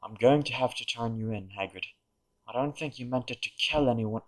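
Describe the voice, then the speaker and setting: Man acting teenage wizard, medium pitch, polite, cold, controlled, formal, audio drama. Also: a little fast, lightly acted, low-quality recording. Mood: stern, cold.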